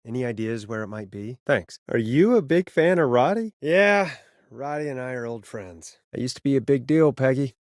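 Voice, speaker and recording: A man's voice: medium pitch, animated, normal speed, dry recording, studio quality, natural voice. A man voicing a weary, sarcastic late-night radio call-in host in a video game, with a wry, conversational, easily exasperated manner. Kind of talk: video game voice acting, radio patter and banter.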